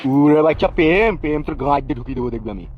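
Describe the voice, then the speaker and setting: A man's voice: fairly high pitch, expressive, racing, lightly acted. A man playing a bullying, foul-mouthed gangster boss in a comedy cartoon, swaggering and menacing, in dull lo-fi sound. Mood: angry, threatening.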